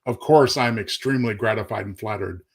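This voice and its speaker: A man's voice: fairly low pitch, fairly quick, creaky, husky. A man co-hosting a live show and talking about his writing in a courteous, slightly formal but chatty way. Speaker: co-host and short story author. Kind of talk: casual live chat.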